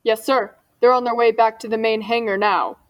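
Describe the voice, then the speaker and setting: A woman's voice: a little high, steady tone, normal speed, dry recording, close to an everyday voice. A woman playing an eager, obliging young male spaceport foreman in an old-time radio drama. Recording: distant mic, faint noise and clicks.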